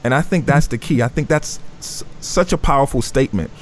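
A man's voice: mid-range voice, brisk, a little hiss or hum. A man hosting a panel talk, reflective and affirming as he sums up his guests' points. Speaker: host.

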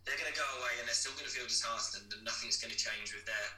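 A man with a very bright, sharp voice, heard in an echoey room a little off the mic. He talks like a personal trainer on a podcast, casual, chatty and blunt. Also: mid-range voice, very fast, faint music behind. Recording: echoey, somewhat distant from the mic.

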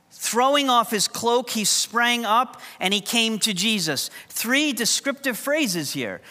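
High bright-voiced New preacher. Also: male voice. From New York. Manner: conversational, humorous storytelling. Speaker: pastor. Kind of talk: sermon. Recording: long hall reverb.

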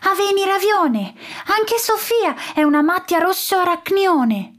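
A woman's voice: very high-pitched, expressive, unhurried, voice pushed up. Woman doing a girl with mixed-up speech. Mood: earnest. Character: young girl who swaps her consonants.

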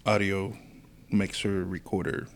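A man co-hosting a casual podcast with a deeper, stronger voice, chiming in with brief remarks and pausing to search for words. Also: flat delivery. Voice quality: deeper, stronger voice than his co-host. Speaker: podcast co-host.

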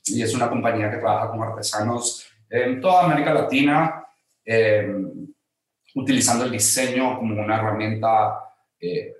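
A man from Guatemala with a Guatemalan accent, a guest designer telling his story in a hesitant, conversational way with many fillers. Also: mid-range voice. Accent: Guatemalan Spanish. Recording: very roomy echo.

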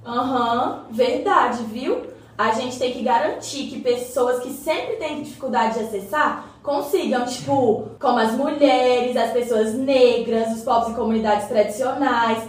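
A woman's voice: a little high, unhurried, lightly acted. A woman playing a chatty, keen community member in a radio soap, informal and eager. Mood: earnest. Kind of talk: scripted radio soap, casual dialogue. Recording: very echoey, distant mic, faint noise.

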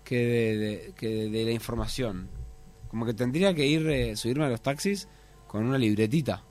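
A Uruguayan man telling anecdotes in a relaxed, hesitant way in casual studio chat. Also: mid-range voice, normal speed. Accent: Uruguayan Spanish. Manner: relaxed storytelling, hesitant with restarts. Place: radio studio. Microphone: studio microphone.

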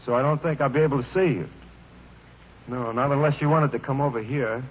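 A young American soldier played by a man in an old radio play, earnest and polite, with a medium-pitched voice. He hesitates and repeats words. The sound is muffled, with faint noise. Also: brisk, close to an everyday voice. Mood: anxious, tender. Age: young adult. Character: polite, eager young soldier heading to see his girl. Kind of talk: old-time radio drama acting.

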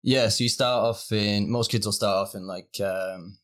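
A man's voice: on the low side, fairly even intonation, normal speed, fairly bright tone. A young man from near Manchester with a Northern English accent, chatting as a podcast guest in an easygoing way. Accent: Northern English, Manchester area. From England. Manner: easygoing, explains with fillers. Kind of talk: casual conversation.

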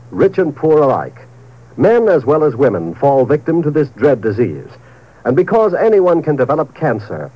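A man reading a scripted 1950s radio appeal as an announcer, earnest, emphatic and formal. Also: fairly high pitch. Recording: muffled vintage radio recording.

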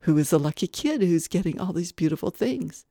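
Lady doing a hearty playful grown-up from an old story, exclaims a lot, mellow, dry sound. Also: lowish voice, lively intonation, normal speed, dry recording. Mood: enthusiastic. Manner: exclamatory, jovial, old-fashioned turns of phrase. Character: hearty, playful grown-up visitor, boyishly enthusiastic.

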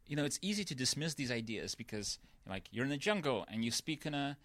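A man's voice: medium pitch, big pitch swings, quick pace, a bit bright, no echo. A man, a guest on a podcast, explaining ideas patiently and often checking in with "right?" and "you know". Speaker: guest researcher. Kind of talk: explanatory interview talk.

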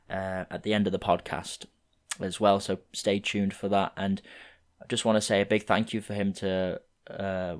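A young man in his twenties from England, hosting a podcast and talking through a rambling solo intro in a slightly croaky voice. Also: fairly low pitch. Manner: relaxed, rambling, with frequent fillers. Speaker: podcast host.

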